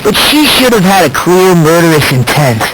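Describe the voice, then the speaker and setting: A man's voice: a little high, sing-song, moderate pace. A man voicing a polite, musing teenage boy in an anime video game, unsettling and fervently hopeful, on noisy game audio. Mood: analytical.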